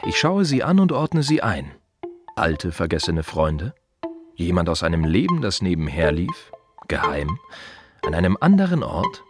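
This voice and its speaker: A man playing a reflective, wry gravedigger in an intimate radio play monologue, speaking in musing fragments with a husky voice. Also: low-pitched, huge pitch swings, music in the background, full character voice. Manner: musing, short fragmentary phrases with pauses. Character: reflective male gravedigger, wry, plain-spoken, quietly observant.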